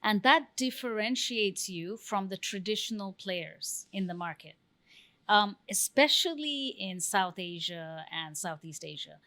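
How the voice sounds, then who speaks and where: A woman's voice: medium pitch, animated, a little slow, pushed and bright. A woman hosting a podcast interview, asking questions and affirming with short replies. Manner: brief affirmations, supportive listener. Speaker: podcast host.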